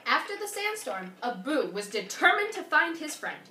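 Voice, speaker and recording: High-voiced woman telling a folktale. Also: very animated, normal speed, bright, forceful tone. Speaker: storyteller. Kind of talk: dramatic storybook narration of a folktale. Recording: roomy, distant mic, faint noise.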